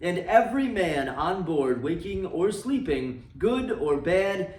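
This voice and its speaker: A man reading a classic novel aloud for a library, dramatic and expressive, letting his voice sink low and soft at the ends of phrases. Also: slow pace, roomy sound, distant mic.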